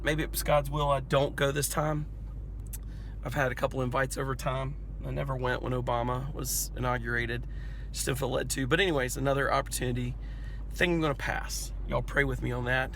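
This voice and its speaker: Folksy man vlogging over clear road noise. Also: mid-range voice, fairly bright tone. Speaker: family vlogger and volunteer pastor. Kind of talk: casual vlog talk to camera. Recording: clear car and street noise behind.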